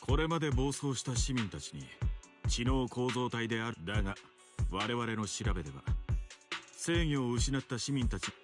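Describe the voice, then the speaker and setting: Formal investigator played by a man, briskly briefing. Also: medium pitch, animated, lightly acted. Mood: formal, serious. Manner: formal, measured wording, businesslike. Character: formal, authoritative male investigator giving a case briefing. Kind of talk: Japanese game voice acting. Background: game music.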